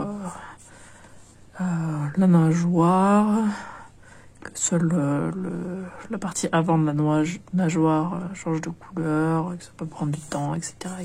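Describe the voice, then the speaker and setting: A woman's voice: lowish voice, creaky, a little hiss or hum. A young woman with a cold, chatting hesitantly through a doll unboxing with many fillers and restarts. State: has a cold, nasal and stuffy. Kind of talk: casual unboxing commentary.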